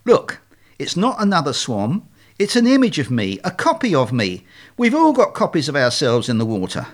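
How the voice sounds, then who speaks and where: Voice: mid-range voice, huge pitch swings, normal speed. A performer voicing a male swan leader in a storybook, explaining things emphatically with well-meaning but strained patience. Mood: patient explaining.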